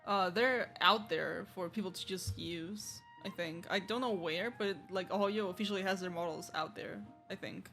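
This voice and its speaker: A woman's voice: on the low side, normal speed, hardly any pauses, a bit bright, soft background music. A woman livestreaming a game, giving casual, chatty commentary in an offhand manner. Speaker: game streamer.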